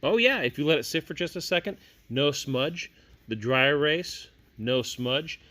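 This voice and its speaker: A man's voice: a little high, lively intonation, measured pace, bright, forceful tone. A man hosting a casual hands-on tabletop gaming product review, talking conversationally and thinking aloud as he goes.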